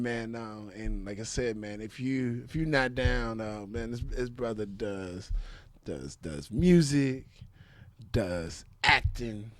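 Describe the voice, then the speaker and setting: Male American host, mid-pitched and dry-sounding, effusive, slangy, casual chat. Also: no echo. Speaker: interview show host.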